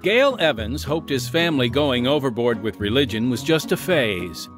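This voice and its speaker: An American man hosting a podcast, reading scripted radio announcements with measured, emphatic phrasing. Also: medium pitch, very animated. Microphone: close studio microphone. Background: music.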